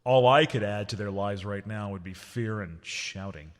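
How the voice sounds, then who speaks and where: A man playing a gruff, decisive base commander in an audio drama, with a dry, plain-spoken, commanding manner. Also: fairly low pitch, normal speed, lightly acted. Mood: gruff, wry.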